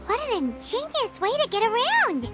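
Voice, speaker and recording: A tiny floating fairy girl, squeaky and extremely high. She chirps cheerfully and curiously in animated exclamations, in anime game style, with loud music playing behind her. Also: normal speed, exaggerated voice. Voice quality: squeaky, childlike.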